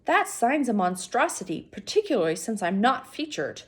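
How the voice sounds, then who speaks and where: A woman's voice: on the high side, very animated, moderate pace, breathy edge. A woman voicing a proud, skeptical male animal sidekick in a children's story, with a dismissive, sniffy manner. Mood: demanding.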